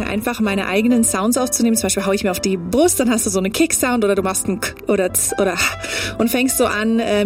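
Upbeat woman musician, mixes in English. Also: fairly high pitch. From Germany. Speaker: musician guest. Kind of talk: casual interview.

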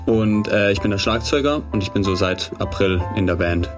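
A man's voice: fairly low pitch, moderate pace, over music. A 22-year-old man from Würzburg, a band drummer, chatting casually in a radio interview.

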